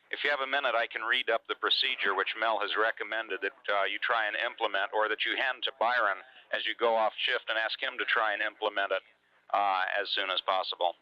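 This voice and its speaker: A man's voice: fairly low pitch, smooth, no echo. A man working as a ground mission controller, giving procedural instructions over two-way radio. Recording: dull, lo-fi mission radio link.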